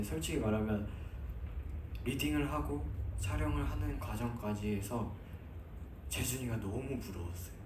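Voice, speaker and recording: A man from Seoul, a film actor answering at a press conference, earnest and repeating himself for emphasis. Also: medium pitch. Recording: echoey hall from far off, background noise.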